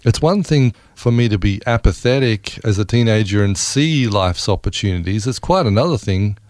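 A man's voice: fairly low pitch, expressive, normal speed, darkish tone, no echo. A middle-aged man with an Australian accent, telling his personal story as an interview guest in an earnest, emphatic way, repeating phrases for stress. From rural New South Wales, Australia. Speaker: interview guest, ministry CEO and former army serviceman. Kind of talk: personal testimony in a conversational interview.

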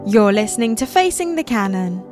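A woman announcing a radio program in a smooth, scripted voice-over. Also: fairly high pitch, sing-song, a little fast, darkish tone. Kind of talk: scripted narration. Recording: voice-over with music bed.

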